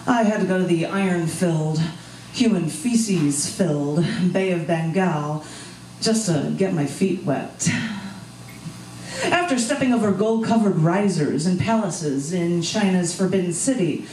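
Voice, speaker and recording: A woman's voice: fairly low pitch, animated, a little slow, warm tone. An American woman speaking as a poet and host at a live open mic, with a spoken-word delivery. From United States. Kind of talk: live spoken-word poetry reading with casual asides. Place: indoor bar gallery open mic. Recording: roomy, distant live recording with background noise.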